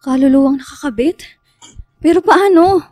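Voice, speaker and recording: A woman's voice: sits high, moderate pace, darkish tone, no room sound, clean studio sound, lightly acted. An actress playing a frightened young woman, the hero's questioning friend, pleading anxiously in a radio drama. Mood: worried.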